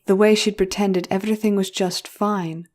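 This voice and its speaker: A woman's voice: mid-range voice, steady tone, normal speed, darkish tone. A woman reading scripted story narration as the narrator of an audio drama. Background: music.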